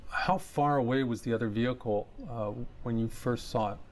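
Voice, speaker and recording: A man's voice: mid-range voice, normal speed, natural voice. A man playing an opposing lawyer, putting formal, methodical questions to a witness in a matter-of-fact way. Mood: businesslike. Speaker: examining lawyer in a dramatized discovery. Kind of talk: formal legal questioning, dramatized.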